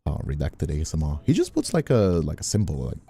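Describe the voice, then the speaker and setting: A man's voice: a bass voice, huge pitch swings, quick pace, dark tone, soft background music. A man hosting a casual stream chat, venting in a rambling, exasperated way and often repeating himself. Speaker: streamer and host.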